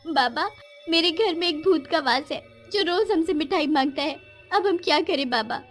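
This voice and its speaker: High-pitched scheming village wife, as a narrator plays her. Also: lively intonation, moderate pace, faint music behind. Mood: fake pleading. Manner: theatrical, emphatic. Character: scheming, greedy village wife, dramatically pleading. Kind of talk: animated storytelling.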